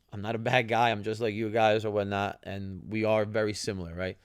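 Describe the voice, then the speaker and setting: A man's voice: fairly low pitch, smooth, dry recording, studio quality. A young man, a podcast host and former soccer player whose first language is Italian, telling stories casually with lots of fillers and "right?" tags. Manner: conversational, many fillers and tag questions. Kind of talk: casual storytelling.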